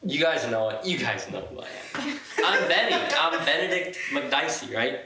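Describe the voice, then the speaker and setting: A young man from Ottawa hosting a casual podcast, playful and quick to laugh. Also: a little high, animated, roomy sound, crowd noise. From Ottawa, Canada. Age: young adult. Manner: playful, laughs often, slangy. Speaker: podcast host.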